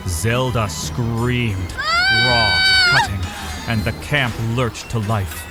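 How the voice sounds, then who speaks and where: A man narrating a dramatic story in a solemn, theatrical, deliberate way. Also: mid-range voice, wildly swooping pitch. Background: music.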